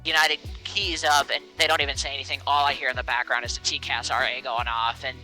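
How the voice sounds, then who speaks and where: A man's voice: medium pitch, level tone, fast talker, bright, forceful tone, soft background music. A young man speaking casually and earnestly as an interview guest, an air traffic controller who encourages listeners and repeats words for emphasis. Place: small aircraft cockpit in flight.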